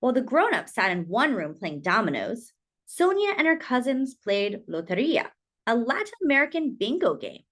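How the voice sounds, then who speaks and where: Lady doing kids storytime, highish voice, really expressive, pitch goes all over. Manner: animated, deliberate storytelling with dramatic emphasis. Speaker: children's storyteller reading aloud. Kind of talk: expressive read-aloud of a children's picture book.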